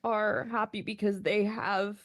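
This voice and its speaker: A woman making a personal video statement, talking hesitantly with many pauses and ums. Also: medium pitch, flat delivery, no echo.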